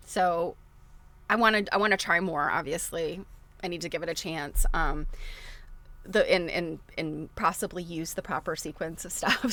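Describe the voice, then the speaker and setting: A woman with a slightly low voice talks as a livestream host. Her delivery is casual and conversational, wandering from thought to thought as she reasons things out loud for her viewers. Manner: rambling, thinking aloud with self-interjections.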